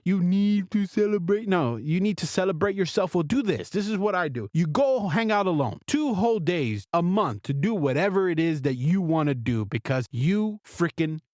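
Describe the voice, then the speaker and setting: A man's voice: sits high, expressive, normal speed, few pauses, a little mellow, no room sound, clean studio sound. A man hosting a podcast, delivering a blunt, sarcastic comedic monologue straight to listeners. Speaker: podcast host.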